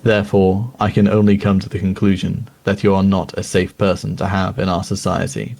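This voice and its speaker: A man playing a sober news reader, narrating a crime documentary in a measured, grave and formal way. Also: sits low, little pitch movement, vocal fry, a little mellow.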